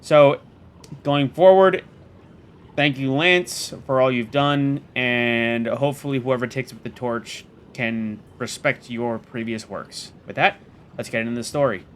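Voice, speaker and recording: A man's voice: medium pitch, fairly even intonation, measured pace, fairly bright tone. A man giving informal commentary as the narrator of a gaming channel. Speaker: video game channel narrator. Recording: clear background noise.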